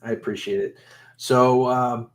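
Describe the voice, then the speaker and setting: A man hosting a casual livestream chat with guests, friendly and halting with frequent restarts. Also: medium pitch, steady tone. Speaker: livestream host.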